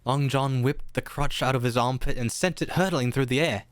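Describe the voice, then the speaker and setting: A man's voice: medium pitch, normal speed, very noisy. A man narrating a radio play in a theatrical storybook style.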